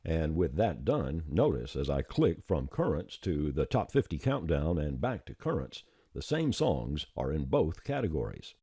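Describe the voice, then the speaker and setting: A man presenting a software tutorial as a step-by-step screencast voice-over, plain-spoken and informal, on a close mic. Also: very deep voice, very animated, measured pace, few pauses, slightly rough, no room sound.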